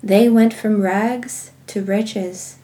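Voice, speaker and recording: A woman leading guided contemplative prayer and reading scripture in a measured, meditative manner with pauses. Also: medium pitch, steady tone, dark tone. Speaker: guided prayer podcast host.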